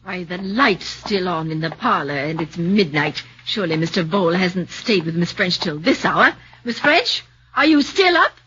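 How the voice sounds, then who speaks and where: A woman's voice: fairly low pitch, expressive, moderate pace, a bit bright. A woman playing an anxious, fretful housemaid, in 1940s radio acting. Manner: fretful, rising to alarm. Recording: lo-fi 1940s radio with faint hum.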